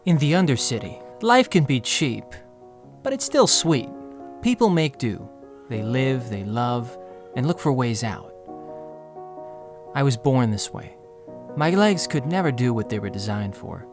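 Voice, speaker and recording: A man's voice: medium pitch, animated, a little slow. An actor playing a young man in a wheelchair who wistfully narrates his childhood. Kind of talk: audio drama narration. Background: music.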